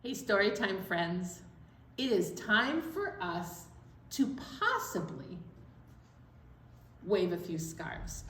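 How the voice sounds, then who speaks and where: A woman's voice: medium pitch, fairly bright tone. A woman hosting a children's storytime, chatting and explaining things cheerfully to young children. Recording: echoey room, distant mic, faint noise.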